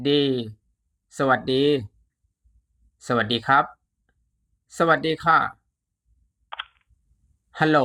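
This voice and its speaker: A language teacher giving a scripted lesson, enunciating deliberately and repeating phrases syllable by syllable before translating them. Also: mid-range voice, pushed and bright.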